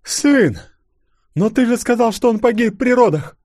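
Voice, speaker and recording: Man voicing a high, anguished, furious woman agent. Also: fairly even intonation, a little slow, mellow, dry recording, clean studio sound. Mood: shocked. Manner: dramatic, exclamatory, accusing. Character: anguished, furious female double agent and betrayed mother.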